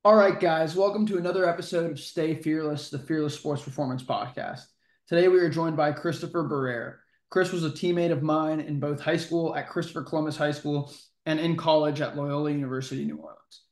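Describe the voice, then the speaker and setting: A man's voice: on the high side, fairly even intonation, brisk, roomy sound. A man co-hosting a sports podcast, reading a guest introduction and then asking chatty questions. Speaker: podcast co-host.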